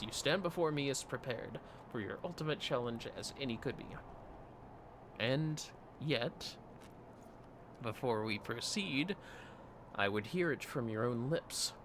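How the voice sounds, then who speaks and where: A man's voice: medium pitch, background noise, natural voice. A man voicing a solemn, aging retired knight, reading fantasy game dialogue in grave, formal, archaic phrasing.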